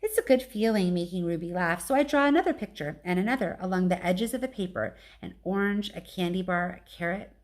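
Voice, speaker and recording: Expressive woman reading a children's story. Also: on the low side, fairly quick, hardly any pauses. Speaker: teacher reading aloud.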